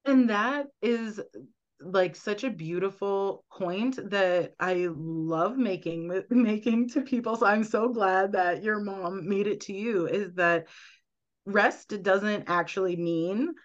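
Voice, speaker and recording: A woman's voice: mid-range voice, takes it slowly, dull audio. A woman guest on a podcast, earnestly explaining at length and often checking in with "right?". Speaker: guest, medical intuitive.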